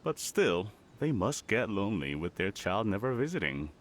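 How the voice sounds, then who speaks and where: An actor playing a friendly, thoughtful villager in a video game, calm and reassuring. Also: male voice, on the low side, normal speed, a little hiss or hum. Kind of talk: game voice acting.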